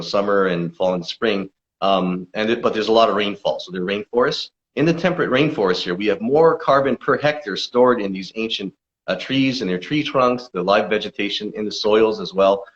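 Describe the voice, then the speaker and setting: A man with a lowish voice, giving steady, informative answers as a forest conservation campaigner. His talk carries frequent "um"s and "uh"s, and the recording sounds dull and lo-fi. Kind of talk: explanatory interview answers.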